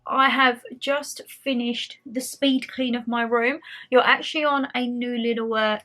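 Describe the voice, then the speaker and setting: A woman's voice: on the high side, steady tone, unhurried, bright, forceful tone. A young woman vlogging from home, chatting casually and rambling a little, her voice slightly nasal and stuffy from a cold. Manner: chatty, rambling, repeats phrases. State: bunged up with a cold. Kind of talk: casual chat to camera.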